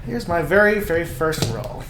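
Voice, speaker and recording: A man's voice: on the high side, expressive, normal speed. A man chatting casually as a tabletop game player, hesitant as he thinks aloud. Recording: echoey room, faint noise.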